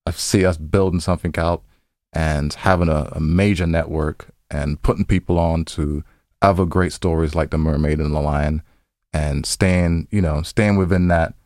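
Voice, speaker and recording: A man's voice: extremely low pitch, fairly even intonation, normal speed, a little mellow, no room sound, studio quality. A man co-hosting a podcast in casual banter, rambling informally and often repeating his phrases. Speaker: podcast co-host. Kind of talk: casual banter between co-hosts.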